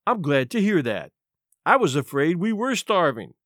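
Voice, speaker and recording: A man voicing a shrunken, shabby vagrant in an audiobook story, quiet and wry, with unhurried, rather formal wording. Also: fairly high pitch, big pitch swings, dry recording, clean studio sound. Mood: quietly ironic. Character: shrunken shabby male vagrant, quiet, dry, sly companion.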